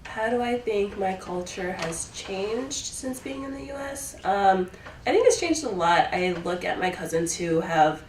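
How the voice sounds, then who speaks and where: Low-voiced young woman, echoey and distant. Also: darkish tone, slight background noise. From Ohio, USA; born in Taiwan. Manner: hesitant, many fillers like "um" and "like". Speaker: interviewee. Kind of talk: casual answers to interview questions.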